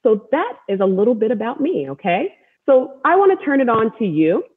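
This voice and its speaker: A woman's voice: fairly high pitch, sing-song, brisk, husky. An American woman speaking encouragingly as host to an online group, repeating words for emphasis, on a video call. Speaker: host, storytelling athlete. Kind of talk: welcoming talk to an online group. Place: room at home. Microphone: wireless earbud on video call. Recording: narrow-band video call audio.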